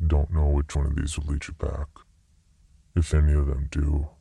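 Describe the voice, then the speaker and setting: A man voicing a husky, deep, impassive stranger. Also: monotone, normal speed, mellow, no room sound, exaggerated voice. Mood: flat resigned. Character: impassive, weary stranger man, detached and resigned.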